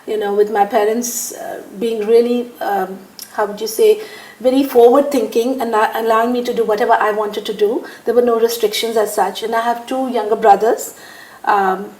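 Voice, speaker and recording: A woman's voice: a little high, steady tone, moderate pace, darkish tone, roomy sound, low-quality recording. An older woman from India talking at an easy pace in an interview, laughing often and using lots of fillers. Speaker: interviewee, businesswoman. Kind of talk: relaxed conversational interview.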